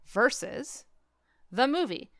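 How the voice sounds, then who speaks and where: A woman's voice: high voice, big pitch swings, slow, fairly bright tone, no echo, studio quality. A woman chatting casually and opinionatedly about film, emphatic and exasperated, as a podcast co-host. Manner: emphatic, exasperated ranting with acted-out quotes.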